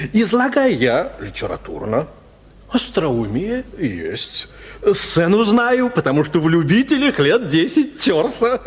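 A man's voice: sits high, exaggerated voice. An actor playing a self-admiring, nervously boastful amateur playwright in a Russian radio play, chuckling as he talks. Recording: reverberant radio studio hall.

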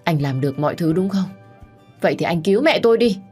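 A woman's voice: low voice, fairly quick, darkish tone, husky, soft background music. A woman voicing a grieving, pregnant young daughter in a pleading, tearful delivery, in a dramatic audio story. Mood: bitter, pleading.

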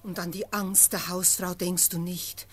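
Anxious wife, slow, lowish voice, pleading verse. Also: female voice, level tone, slightly rough. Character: worried, devoted wife of the hunter. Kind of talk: classic radio drama.

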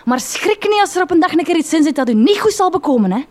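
An actress playing a bitter, long-suffering village schoolteacher wife with a Flemish accent, sarcastic and resentful in a radio drama. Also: female voice, very high voice, very animated, quick pace. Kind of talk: theatrical radio drama acting.

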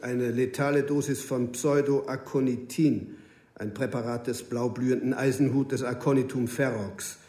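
Roomy echo, man playing pedantic federal agent, mellow mid-range voice, dry official courtesy, radio play. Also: mid-range voice, natural voice. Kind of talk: radio drama acting. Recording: roomy, echoing studio interior.

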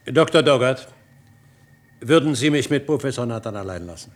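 A man's voice: medium pitch, lively intonation, moderate pace, lightly acted. Shrewd, persuasive doctor played by a man. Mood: confidential, probing. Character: shrewd, scheming male doctor tempting a colleague. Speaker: actor in a radio play. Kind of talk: German science fiction radio play acting.

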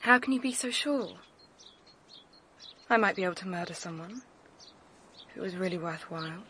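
A woman's voice: lowish voice, expressive, normal speed, sharp tone, a little hiss or hum. A woman playing a guarded, fearful but frank young woman in a radio drama, in an intimate manner. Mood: uneasy, frank. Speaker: actor.

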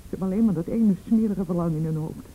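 A woman's voice: lowish voice, quick pace, narrow, tinny audio, slight background noise, close to an everyday voice. An actress playing a defensive, frightened mother in a Dutch radio play, hesitant and evasive, with an indignant edge. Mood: bitter, afraid. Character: defensive, evasive, frightened mother shielding her abusive husband.

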